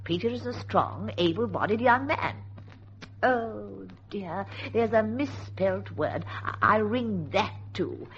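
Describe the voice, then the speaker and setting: A woman's voice: medium pitch, slow. An actress playing a fussy, pedantic older woman in an old-time radio drama, prim, scolding and fluttery. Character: Martha, fussy, pedantic, fretful older woman, stickler for grammar. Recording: muffled old radio recording, faint noise.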